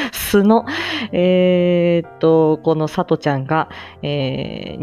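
A woman's voice: deep voice, dark tone. A woman hosting a casual solo livestream chat, rambling along in a self-effacing, giggly manner. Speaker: livestream host, speech-language therapist.

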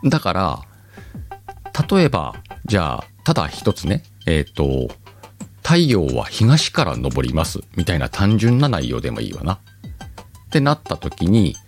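Solo podcast host, male, low register, swooping delivery, self-mocking ramble over faint background music. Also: wildly swooping pitch, a little slow. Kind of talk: casual solo chat.

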